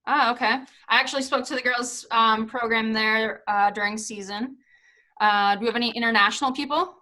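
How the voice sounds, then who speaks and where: A woman's voice: fairly high pitch, steady tone, fairly quick, sharp tone. A woman in her thirties, a former professional soccer player, talking casually to a college team on a video call, with lots of fillers. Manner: chatty, many fillers and hedges. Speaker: guest speaker, former pro soccer player.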